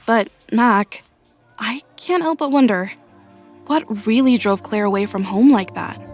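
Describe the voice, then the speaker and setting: A woman playing a thoughtful, loyal high school cheerleader in a conversational audio drama. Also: a little slow, close to an everyday voice. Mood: concerned. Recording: music under the dialogue.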